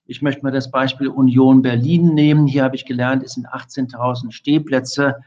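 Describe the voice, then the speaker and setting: Measured, formal expert man, muffled audio. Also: mid-range voice, flat delivery, a little mellow. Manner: measured, long formal sentences with academic vocabulary. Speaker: infection medicine expert guest. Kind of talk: formal interview answers. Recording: dull lo-fi audio.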